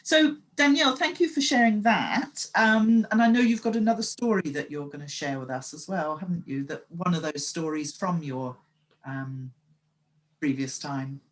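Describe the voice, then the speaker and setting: Lady host with lowish voice, asks probing stuff, lots of ums. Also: normal speed, low-quality recording. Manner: probing, with hesitant fillers. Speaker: story coach host. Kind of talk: casual interview chat.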